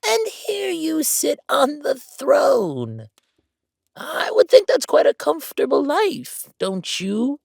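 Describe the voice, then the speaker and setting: A man's voice: extremely high pitch, huge pitch swings, slow pace, creaky, dry recording, studio quality, exaggerated voice. A man voicing a bold, calculating bookkeeper count in a villain audio drama, taunting and grandly self-assured. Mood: mocking.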